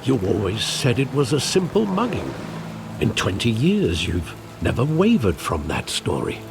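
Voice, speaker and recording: An actor playing a loyal, weary older butler and guardian in a video game, speaking in a measured, earnest, formal way. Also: male voice, medium pitch, huge pitch swings, background noise. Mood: reflective. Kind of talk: dramatic video game acting.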